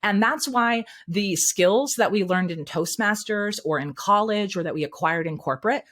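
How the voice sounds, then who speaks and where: A woman speaking coach, a guest on an interview show, giving candid and energetic advice. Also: mid-range voice, moderate pace.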